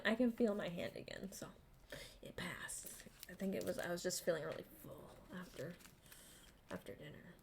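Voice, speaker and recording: A woman, chatting casually to her viewers while unboxing a restock. She giggles often, and her voice is gravelly from being sick with the flu. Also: mid-range voice, a little hiss or hum. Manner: bubbly, giggly, talks to viewers. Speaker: vlogger and English teacher. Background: crinkling packaging.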